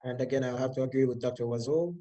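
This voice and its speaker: Mellow, quick male panelist. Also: mid-range voice, steady tone. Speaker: teacher. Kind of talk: scripted panel discussion.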